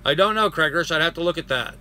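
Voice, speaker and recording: An older man hosting a casual live stream, chatting and answering viewer comments, getting hoarse after a long session. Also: a little high, quick pace, bright, forceful tone, slight background noise. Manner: rambling, friendly, greets viewers by name.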